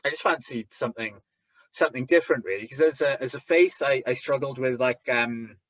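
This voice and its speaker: A man with a thick Welsh accent, a wrestler chatting as an interview guest, talking haltingly with lots of restarts and fillers. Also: mid-range voice, fairly bright tone, dry recording, highs cut off. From Wales. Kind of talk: casual interview chat.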